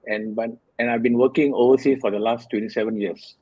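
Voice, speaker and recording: A man in his fifties from Singapore, a guest in an interview, telling his story in an earnest, measured way with many fillers. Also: mid-range voice, steady tone, mellow, husky, dry recording, dull audio. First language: Tamil. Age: 50s. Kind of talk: reflective conversational interview.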